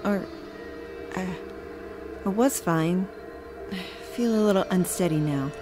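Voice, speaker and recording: A woman's voice: fairly low pitch, slow pace. Woman as a concerned companion over music. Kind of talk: audio drama acting.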